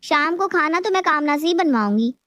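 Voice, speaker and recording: A woman playing a put-upon, scheming young daughter-in-law in an animated moral story, with a plaintive, exaggerated delivery. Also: sits high, a little fast, no echo, clean studio sound. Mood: annoyed, scheming.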